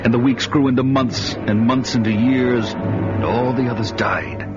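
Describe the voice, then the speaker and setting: A man's voice: medium pitch, normal speed, lightly acted. An actor playing a grown barbarian telling his own story in grave, dramatic radio narration. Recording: dull old radio audio, loud music.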